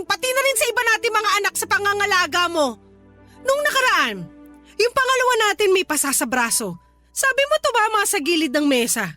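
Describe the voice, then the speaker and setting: Very high-pitched, exasperated scolding wife. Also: female voice, pushed and bright, full character voice. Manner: nagging, sarcastic. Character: exasperated, scolding young working mother and wife. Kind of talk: Tagalog radio drama acting. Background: background music.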